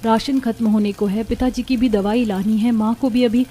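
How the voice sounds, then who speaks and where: A woman as a plaintive, high-voiced poor college girl. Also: fairly even intonation, moderate pace, mellow, close to an everyday voice. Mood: troubled, sad. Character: poor, gentle young college girl, dutiful and troubled. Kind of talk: Hindi cartoon moral story acting.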